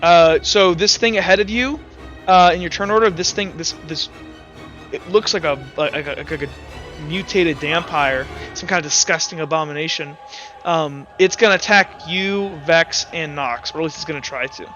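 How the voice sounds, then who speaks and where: A man running a tabletop roleplaying game as game master in a casual, halting way, thinking aloud as he narrates. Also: fairly high pitch, a bit bright, soft background music.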